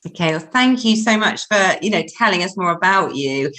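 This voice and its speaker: A woman hosting a podcast as an eating disorder therapist, reading a scripted intro and then chatting warmly with a guest, laughing often. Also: lowish voice, expressive, normal speed.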